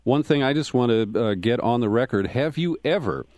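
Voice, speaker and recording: A man hosting a political radio interview, talking in a measured way and reading out web addresses slowly. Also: medium pitch, expressive, a little mellow, no room sound. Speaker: radio talk show host.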